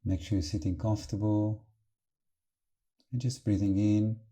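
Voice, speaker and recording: Very slow, dark-voiced man guiding meditation. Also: lowish voice. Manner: calm, gentle, halting with long pauses. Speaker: meditation teacher. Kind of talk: guided meditation, unscripted.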